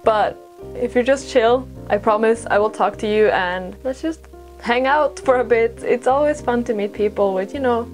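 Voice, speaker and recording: A woman's voice: a little high, normal speed, darkish tone, soft background music. A young woman from Sweden, a native Swedish speaker, chatting casually and telling stories in an informal, self-deprecating way with rambling asides. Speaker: podcast host and vlogger. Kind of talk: casual chatty storytelling to camera.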